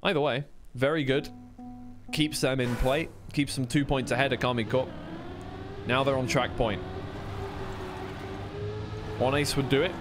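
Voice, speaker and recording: A man's voice: mid-range voice, fairly even intonation, a little slow, pauses often, music in the background. A man giving casual, unscripted live play-by-play and analysis as an esports commentator on a stream.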